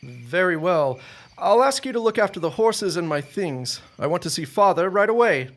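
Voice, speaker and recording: An actor playing an anxious, genteel grown son in a period audio drama, speaking formally with a husky voice. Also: male voice, high-pitched, very animated, fairly bright tone, full character voice. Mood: brisk, concerned. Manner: formal, old-fashioned, halting under pressure. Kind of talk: period radio drama acting.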